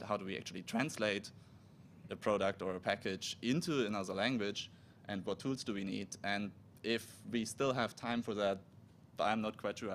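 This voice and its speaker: A man, a native German speaker, giving a technical conference talk, halting and restarting as he explains. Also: on the low side, slight background noise. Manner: halting, with restarts and self-corrections. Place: conference room.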